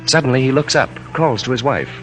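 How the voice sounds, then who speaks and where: A man's voice: medium pitch, animated, brisk, a bit bright, low-quality recording. Casting: male American announcer, mid-range voice with wide swings, solemn vintage radio narration over music. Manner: solemn, dramatic. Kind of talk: 1940s radio scripted narration.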